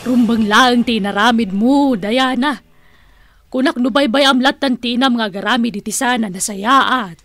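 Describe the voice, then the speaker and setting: Radio play role: stern, threatening Ilocano auntie, high pitch, dry close sound. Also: female voice, a little slow, fairly bright tone, no room sound, soft background music. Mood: stern, advising. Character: tough, scolding elder woman who threatens with shotgun. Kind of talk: radio drama acting.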